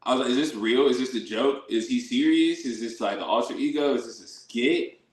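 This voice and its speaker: A man's voice: medium pitch, brisk. A man livestreaming a casual, slangy reaction as host, often sounding incredulous. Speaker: livestream host reacting to his own dating-show episode. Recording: roomy livestream audio with echo.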